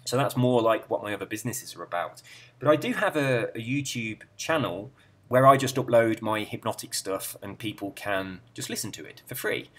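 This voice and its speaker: A middle-aged man chatting casually, rambling and easily sidetracked as he browses a website, with a soft, relaxed way of speaking. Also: lowish voice. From England. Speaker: YouTuber and hypnotist.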